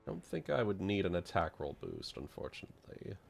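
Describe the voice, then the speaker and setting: A man's voice: low-pitched, wildly swooping pitch, brisk, creaky, faint music behind. A man playing a tabletop game, chatting casually at the table.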